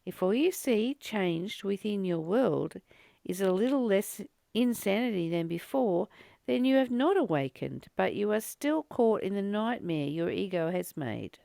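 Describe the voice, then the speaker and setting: A woman's voice: mid-range voice, expressive, unhurried, gravelly, dark tone, no echo. A woman reading a spiritual text and commenting on it as a teacher, earnest and exhorting, repeating phrases for emphasis.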